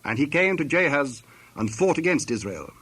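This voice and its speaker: A man narrating dramatized scripture from a script, in measured, solemn short phrases. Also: on the high side, sing-song, a bit bright, slightly rough.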